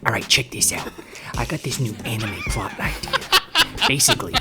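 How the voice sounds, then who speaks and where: A man doing a comic reading in a hushed, conspiratorial whisper. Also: mid-range voice, wildly swooping pitch, normal speed, music in the background. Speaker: comedy reader.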